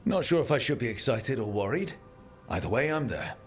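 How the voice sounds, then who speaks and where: An actor playing a wry, supportive adventurer and leader in a video game, with a casual delivery. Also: male voice, medium pitch, big pitch swings, a little fast, faint music behind. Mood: supportive, focused.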